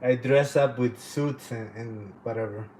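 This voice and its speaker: A man's voice: medium pitch, unhurried, a little mellow, a little hiss or hum. A man from Honduras who speaks natively, chatting casually and rambling with plenty of swearing as he hosts a livestream. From Honduras, living in Canada since age twelve. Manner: informal, profane, rambling. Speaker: livestream host and painter.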